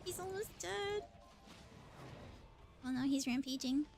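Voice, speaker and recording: A woman's voice: high voice, lively intonation, slow pace, gravelly, darkish tone. A woman livestreamer giving casual gameplay commentary, thinking aloud in short bursts as she plays. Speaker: livestreamer playing a video game. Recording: music behind the voice. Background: music.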